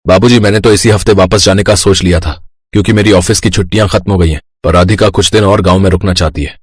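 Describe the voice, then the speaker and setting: Man as a husky, serious grown son. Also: low-pitched, fairly even intonation, fast talker, no echo, studio quality. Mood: questioning, serious. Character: troubled adult son who moved to the city. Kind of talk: acted Hindi moral story dialogue.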